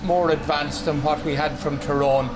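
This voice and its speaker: An Irish man as a pundit, measured and willing to disagree in post-match analysis. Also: fairly high pitch, steady tone, poor audio. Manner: measured, dissenting. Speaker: studio pundit.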